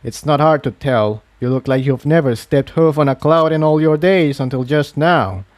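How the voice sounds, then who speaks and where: Man voicing a curt, gruff gray pegasus instructor. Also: a little high, normal speed, darkish tone, no room sound, natural voice. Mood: scornful. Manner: curt, scornful. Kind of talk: fan fiction story reading.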